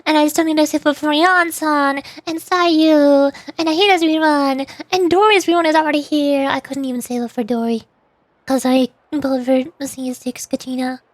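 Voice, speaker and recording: High-voiced girlish woman streamer. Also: steady tone, moderate pace, no room sound. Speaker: streamer playing and voicing game lines. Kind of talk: casual livestream chat and commentary.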